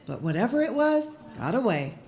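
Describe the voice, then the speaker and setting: A woman's voice: fairly high pitch, sing-song, fairly quick, darkish tone. A woman playing a curious, resilient passenger aboard a spaceship in a science fiction audio drama. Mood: shaken. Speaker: voice actor.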